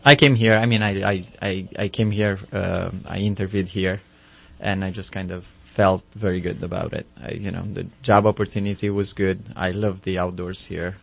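A man in his thirties with a Romanian accent, a physician guest speaking haltingly in a casual radio interview, full of ums and restarts. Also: low voice, level tone, vocal fry, a bit bright.

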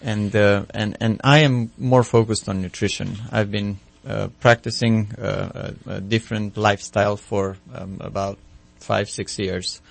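A man in his thirties with a Romanian accent, a physician guest speaking haltingly in a casual radio interview, full of ums and restarts. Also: fairly low pitch, fairly even intonation, vocal fry.

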